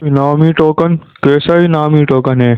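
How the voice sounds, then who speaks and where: A man's voice: on the high side, steady tone, unhurried, clean tone, dry recording, natural voice. A man playing a poor, good-natured village farmer and husband in a story, with a plain, resigned manner. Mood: tired. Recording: narrow tinny phone-like sound.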